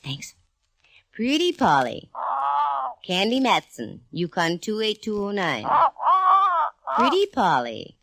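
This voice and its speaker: An actress playing a wisecracking private detective who also narrates, in a brisk 1940s radio drama. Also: female voice, mid-range voice, huge pitch swings, dry recording, studio quality.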